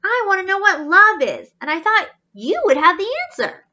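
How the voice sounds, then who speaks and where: Lady doing a young robot from a kids storybook, earnest n exclaiming, high lively voice. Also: moderate pace, highs cut off, full character voice. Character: earnest young robot on a quest, seeking love's meaning. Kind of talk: children's storybook read-aloud.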